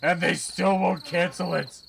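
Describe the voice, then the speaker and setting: Someone doing a creaky, burnt-out cartoon slacker. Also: mid-range voice, steady tone, a little slow, fairly bright tone, a little hiss or hum. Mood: desperate. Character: burnt-out, desperate male cartoon sidekick begging for drugs. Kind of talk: improvised cartoon parody dub.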